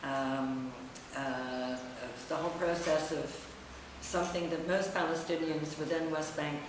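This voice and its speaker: A woman's voice: low-pitched, fairly even intonation, a little slow. Middle-aged woman speaking, distant and echoey. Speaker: writer and editor presenting own essay. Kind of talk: public talk, semi-improvised. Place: echoey indoor room at a public event. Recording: very echoey distant room recording, noisy.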